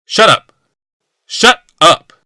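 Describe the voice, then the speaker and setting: Bitter sick old-genius type, done by a male narrator, growly, forceful, paranoid, audiobook story. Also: extremely slow, dry recording, studio quality, heavily acted. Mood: furious. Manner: growling and hissing, wrathful.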